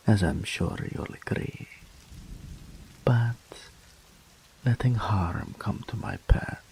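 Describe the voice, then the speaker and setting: A man voicing a doting, possessive male incubus demon in an ASMR roleplay audio, speaking softly and slowly in a whispery, pampering way. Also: low voice, gravelly, a little hiss or hum, exaggerated voice, poor audio. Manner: soft, slow, whispery and pampering.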